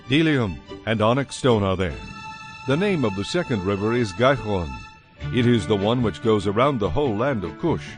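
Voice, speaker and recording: A man narrating dramatized scripture in a solemn, measured manner. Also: medium pitch. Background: music.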